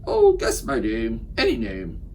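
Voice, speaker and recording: A man voicing a pushy, high-pitched little man in a picture-book read-aloud, squeaky and wheedling. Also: wildly swooping pitch, unhurried, gravelly, distant mic, a little hiss or hum, heavily acted. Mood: pestering.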